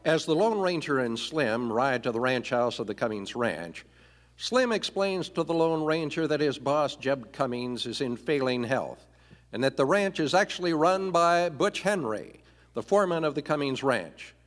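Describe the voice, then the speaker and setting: A man's voice: mid-range voice, expressive, moderate pace. A man narrating a live Western radio play from a script on stage. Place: performing arts theater stage. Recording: reverberant theater hall.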